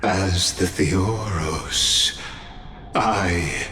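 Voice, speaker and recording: An actor voicing an ominous, grandiose male cosmic observer in a game cutscene, speaking slowly and deliberately. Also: sits low, pushed and bright, slight background noise, full character voice. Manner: slow, grandiose, deliberate delivery.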